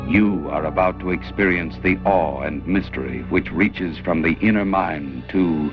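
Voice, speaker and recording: An actor playing a calm, commanding disembodied control voice in a 1960s television anthology opening, speaking in a measured, ominous, authoritative way. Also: male voice, low-pitched. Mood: cold, commanding. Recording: dull archive television audio with music. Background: music.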